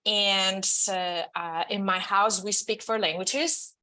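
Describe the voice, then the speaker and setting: Spanish lady writer introducing herself on a panel, bright clear-cut voice, spanish accent, off the cuff. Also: mid-range voice, fairly even intonation, slow pace. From Spain.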